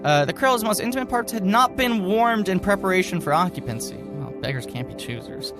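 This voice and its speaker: Animated, high-pitched man reading aloud over music. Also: fairly quick. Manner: mock-serious reading, breaking into amused commentary. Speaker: podcast co-host reading aloud. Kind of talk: comic dramatic reading with casual asides.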